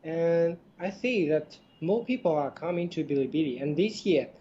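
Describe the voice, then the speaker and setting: A man whose first language is Chinese chats in hesitant, filler-heavy English as a podcast co-host. His voice is mellow and dark. The recording sounds a little dull and lo-fi. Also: fairly high pitch, normal speed, slight background noise. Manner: non-native English, hesitant, many fillers. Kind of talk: casual podcast chat.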